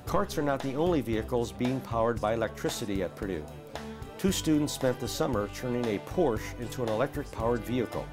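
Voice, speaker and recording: Man, newsreel narrator, scripted delivery, mid-range pitch, music playing clearly underneath. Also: lively intonation, fairly quick.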